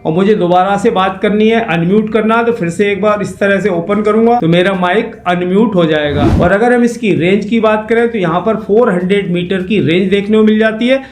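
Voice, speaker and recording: Male tech reviewer, high pitch, explanatory and direct to viewers, slightly noisy recording. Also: brisk, hardly any pauses. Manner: instructional, addresses viewers directly. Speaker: product reviewer and presenter. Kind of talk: unboxing and review narration, explanatory.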